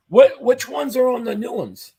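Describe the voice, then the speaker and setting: Man, livestream host, gravelly and hoarse from losing his voice, upbeat and chatty. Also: very high-pitched, very animated, slow pace, mellow. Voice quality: husky, fading voice. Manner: enthusiastic fan reading chat comments aloud. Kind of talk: casual live-chat commentary.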